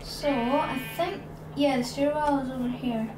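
A woman's voice: high voice, steady tone, measured pace, music in the background. A woman hosting a gaming video, chatting and thinking aloud as she plays. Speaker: gaming channel host. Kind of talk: Minecraft let's play commentary. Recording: echoey room, distant mic, loud noise.

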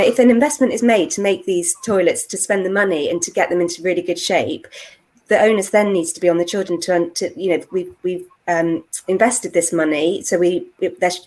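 Middle-aged woman, slightly low voice, explanatory panel talk, video call. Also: darkish tone. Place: indoor room. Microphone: earphones on a video call.

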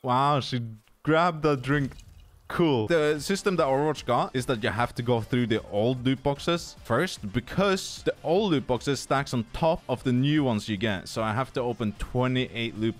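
A man's voice: medium pitch, unhurried, faint music behind. A young man with a Swedish accent giving goofy, casual commentary while opening loot boxes, tired and swearing freely. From Sweden. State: tired from no sleep. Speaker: gaming YouTuber.

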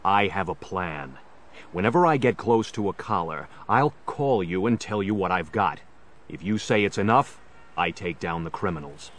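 An actor playing a stern, determined masked vigilante in a children's superhero audio drama. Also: male voice, low voice, lively intonation, normal speed, fairly bright tone. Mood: grave, determined.

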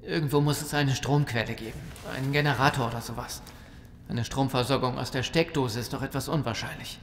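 Actor as cautious man, practical survivor, medium pitch, zombie radio play, strong echo. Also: steady tone, a little fast, a little hiss or hum, close to an everyday voice. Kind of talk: audio drama acting. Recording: echoey hall reverb.

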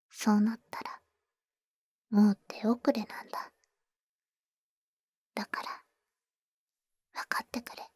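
A tender yet bold vampire woman, acted by a voice actress for an ASMR audio drama. Her voice is soft, breathy and dark, often near a whisper. She speaks slowly and protectively, with cool boyish phrasing, very close to the mic. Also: a little high, no echo. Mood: sorrowful, tender. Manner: husky, cool, boyish speech. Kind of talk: Japanese ASMR audio roleplay. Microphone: close studio microphone, dry.

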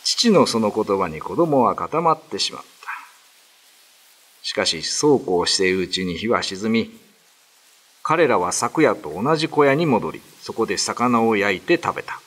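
A man's voice: on the low side, very animated, normal speed, slightly rough. A man narrating a ghost story for a radio drama. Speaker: narrator and father character. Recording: soft music bed.

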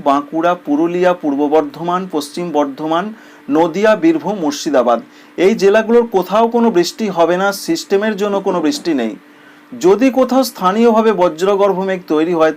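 A man's voice: high voice, a little mellow. A man presents a weather bulletin in an explanatory, emphatic way, repeating phrases for clarity. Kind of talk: semi-scripted weather bulletin narration.

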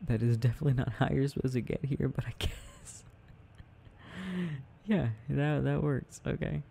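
A man playing a sleepy, tender boyfriend in a soft ASMR roleplay, murmuring to himself as he games and sometimes dropping into a whisper. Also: medium pitch, fairly even intonation, unhurried, creaky, pauses often. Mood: absorbed, mildly puzzled. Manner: murmuring, dropping into whispers, hesitant self-talk. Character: sleepy, tender, sensitive boyfriend rambling while gaming. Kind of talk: soft intimate ASMR roleplay, unhurried rambling.

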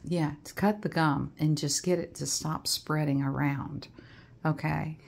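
Woman doing a reading lesson w/ a kid, slow and lowish voice, exclaims a lot, asks coaxing questions. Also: fairly even intonation, gravelly, breathy edge. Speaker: reading tutor coaching a child. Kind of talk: casual, encouraging reading lesson. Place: indoors at a home dining table.

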